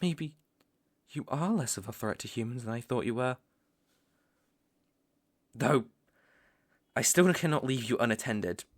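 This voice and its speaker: A man with a British accent playing a stern young vampire hunter, menacing yet reluctantly protective, in an ASMR roleplay with deliberate pauses and sighs. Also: mid-range voice, lively intonation. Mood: grudgingly impressed.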